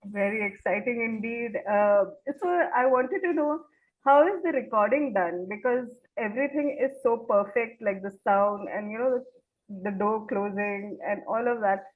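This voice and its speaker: A woman's voice: fairly high pitch, normal speed. A woman hosting an interview in a conversational way, asking questions of her guest. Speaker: interview host. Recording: muffled, phone-like narrow band.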